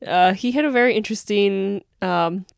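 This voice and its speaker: A woman's voice: mid-range voice, normal speed, vocal fry, smooth, no room sound, clean studio sound. An American woman co-hosting a podcast with casual, chatty, informal and jokey banter. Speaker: podcast co-host.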